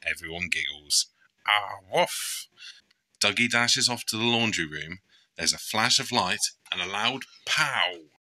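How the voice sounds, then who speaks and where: A man's voice: fairly low pitch, lively intonation, moderate pace, pushed and bright, dry recording. A man narrating a children's bedtime story as a read-aloud storyteller.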